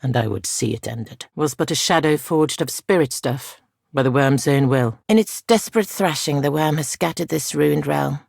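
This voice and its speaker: Soft, creaky ancient spirit guardian. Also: female voice, low-pitched, dry recording, studio quality. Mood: grave, foreboding. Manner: solemn, measured, archaic formal phrasing. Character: ancient female spirit guardian, solemn, weary, formal. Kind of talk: video game character dialogue.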